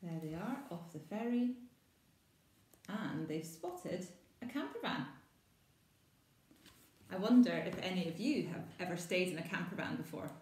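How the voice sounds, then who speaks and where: A woman's voice: fairly low pitch, sing-song, unhurried, long pauses, a little mellow, slight background noise. A Scottish woman reading a children's picture book aloud, with chatty asides to young listeners. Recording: echoey room.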